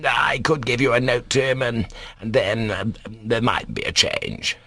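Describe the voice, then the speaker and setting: A man's voice: medium pitch, unhurried, vocal fry, bright, forceful tone, dry recording, clean studio sound, heavily acted. A man voicing a pompous, easily angered doctor in a storybook, haughty and prone to stammer and repeat himself. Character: pompous, easily enraged male doctor, sputtering with indignation.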